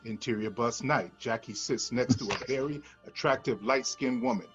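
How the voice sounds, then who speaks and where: A man's voice: medium pitch, normal speed, fairly bright tone, highs cut off, faint music behind. A man reading the stage directions of a screenplay at a table read in a matter-of-fact manner.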